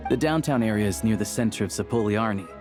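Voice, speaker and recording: A man's voice: lowish voice, fast, music in the background, natural voice. A man playing an easygoing hunter and town guide in a video game, speaking in a casual, helpful, matter-of-fact way. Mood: informative, helpful. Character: easygoing young male small-time hunter acting as town guide. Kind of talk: video game character acting.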